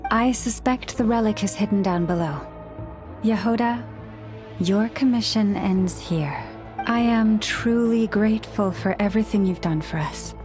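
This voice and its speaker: Casting: actress for grave, caring young priestess in a video game, mid-range voice, formal phrasing, music beneath. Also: female voice, medium pitch, close to an everyday voice. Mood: grave, grateful. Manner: formal, measured wording, earnest. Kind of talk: game voice acting. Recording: music underneath.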